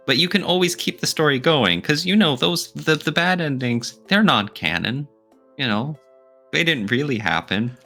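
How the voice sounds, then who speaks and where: A man's voice: medium pitch, pushed and bright. A man co-hosting a podcast in casual debate style, speaking emphatically and arguing playfully. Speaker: podcast co-host.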